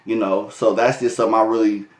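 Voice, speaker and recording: A man's voice: on the low side, fairly even intonation, quick pace. An American man talking casually to his vlog viewers, with loose chatty delivery, restarts and frequent chuckles. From United States. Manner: loose and conversational, many fillers and restarts, laughs often. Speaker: vlogger. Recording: echoey room.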